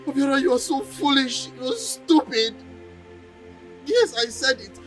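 A man's voice: very high-pitched, big pitch swings, measured pace, soft background music, heavily acted. A man playing a grieving, bitter friend who scolds at a grave. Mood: bitter grief. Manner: bitter, reproachful.

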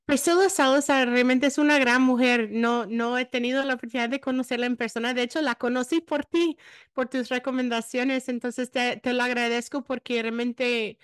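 A woman's voice: a little high, fairly even intonation, moderate pace, clear, resonant tone, no room sound, clean studio sound. A woman in her thirties hosting a podcast interview over a video call, encouraging and affirming, speaking Spanish as a second language after English. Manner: warm-paced, encouraging, affirming. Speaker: podcast host. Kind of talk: casual interview conversation. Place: room with plain gray wall.

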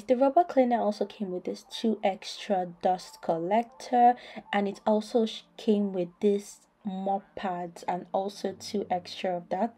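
Young woman vlogging at home, mellow warm voice, chatty with lots of ums. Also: medium pitch. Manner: chatty, many "um" fillers, addresses viewers as guys. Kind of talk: product demo voice-over. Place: home, indoors.

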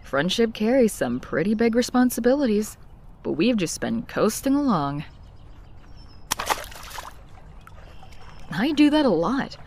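A woman playing a young woman confiding her painful past in an expressive, reflective comic dub narration. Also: fairly high pitch, normal speed, background noise. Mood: reassuring, wistful.

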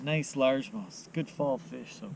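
A man's voice: medium pitch, measured pace, vocal fry, poor audio. A middle-aged man giving casual, upbeat fishing commentary in short exclamatory phrases, talking to a camera outdoors on a boat. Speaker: fishing vlogger. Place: outdoors on a small boat on a lake. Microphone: camera mounted on the boat, a few feet away. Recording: loud wind noise on camera mic. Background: wind and water noise.

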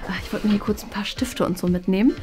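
A woman's voice: medium pitch, fast talker, warm tone, faint music behind. A young woman chatting casually to camera as a vlog host indoors. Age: young adult. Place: indoors, small craft studio and office rooms. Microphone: handheld vlog camera at arm's length.

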